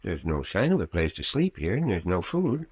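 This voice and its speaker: An actor playing a cautious, grumbling, folksy small-town doctor in an old-time radio drama. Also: male voice, low voice, wildly swooping pitch, normal speed, darkish tone, slightly rough, no echo, heavily acted. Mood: uneasy, grumbling.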